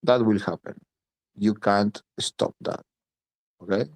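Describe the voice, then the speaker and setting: A man's voice: on the low side, fairly even intonation, slow, a little mellow, no echo. A man from Italy speaking English as a second language, blunt and emphatic, swearing and repeating points in a casual voice chat. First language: Italian. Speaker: voice chat participant.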